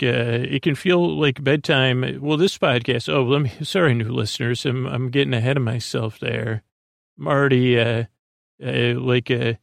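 A man with a creaky voice hosting a sleep podcast, meandering through a soothing, hesitant bedtime monologue full of tangents. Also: mid-range voice, dry recording, clean studio sound. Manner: soothing, lulling delivery. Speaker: podcast host.

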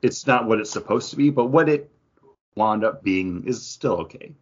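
A man's voice: fairly low pitch, moderate pace, husky, dull audio. A man co-hosting a podcast, chatting casually as he reviews drinks, hesitant and correcting himself as he goes. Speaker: podcast co-host. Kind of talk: casual beer review chat.

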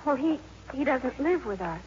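Flustered teen girl, breathless and very lively, 1950s radio drama, muffled noisy recording. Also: sits high, normal speed. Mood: earnest, defensive. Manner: stammering, breathless, easily flustered. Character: flustered, earnest teenage schoolgirl with a crush.

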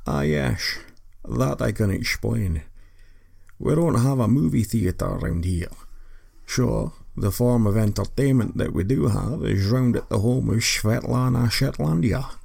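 A smug village sin eater, played by a man, drawls in a put-on Scottish accent. The voice is low, raspy and full of gravel, and every line sounds pleased with itself. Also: animated, unhurried, darkish tone, full character voice. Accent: Scottish, a Sean Connery-style impression. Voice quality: gravelly, husky. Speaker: interviewee. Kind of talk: comic audio fiction interview.

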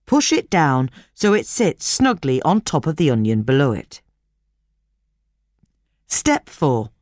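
Female narrator for instructional read-aloud: deep register, very varied melody, measured delivery, dry close sound. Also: sing-song, darkish tone, no room sound. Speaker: narrator reading a gardening magazine article.

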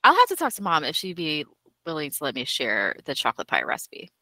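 A woman's voice: low-pitched, huge pitch swings, brisk, bright, forceful tone, no room sound, clean studio sound. A young woman co-hosting a podcast in casual chat, playful and upbeat, laughing often. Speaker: podcast co-host.

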